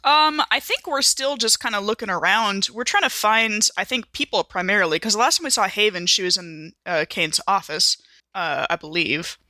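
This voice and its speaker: A woman player in a tabletop game, thinking out loud as she works through what her character does. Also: medium pitch, normal speed, no room sound.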